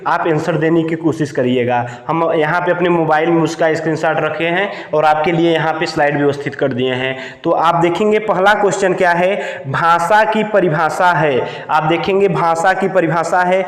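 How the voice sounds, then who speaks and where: Male exam coach, high-pitched, explains point by point with repetition, recorded in a reverberant echoey room. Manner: repetitive, asks questions and answers them himself. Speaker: teacher of a Hindi exam-prep channel. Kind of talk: lesson explained point by point for note-taking.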